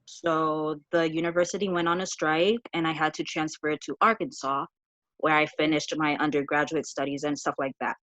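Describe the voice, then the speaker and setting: A woman's voice: sits low, little pitch movement, moderate pace, fairly bright tone, no room sound. A woman from Haiti asking a question on a video-call panel, hesitant and searching for her words. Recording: dry, muffled video call audio.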